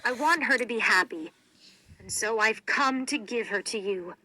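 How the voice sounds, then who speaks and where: A woman's voice: fairly high pitch, lively intonation, moderate pace, pushed and bright, no echo, rough recording. A woman voicing a male circus owner in a children's storybook, with a formal and earnest manner. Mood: solemn, sad. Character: circus owner, a man, showy announcer turned nervous and solemn.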